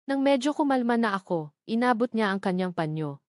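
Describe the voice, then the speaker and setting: A woman's voice: a little high, animated, fairly quick, no room sound, clean studio sound. Filipino woman narrating a sentimental romance. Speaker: story narrator. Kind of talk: dramatic first-person romance story narration.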